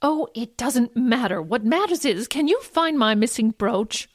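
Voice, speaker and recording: A woman's voice: fairly high pitch, animated, measured pace. A woman playing a haughty, melodramatic, middle-aged wealthy mother, demanding and easily outraged, in a comic audio drama. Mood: imperious. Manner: imperious, melodramatic, gasping outrage.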